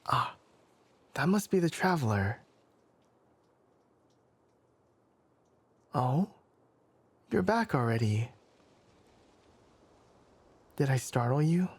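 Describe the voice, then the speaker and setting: A man playing a young, scholarly forest ranger with animal ears in an ASMR roleplay, courteous and measured, with a soft, breathy voice. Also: mid-range voice, very animated, no room sound, heavily acted. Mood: polite, welcoming. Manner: measured, hesitant, courteous, bookish phrasing. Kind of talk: ASMR roleplay, soft character acting addressed to listener.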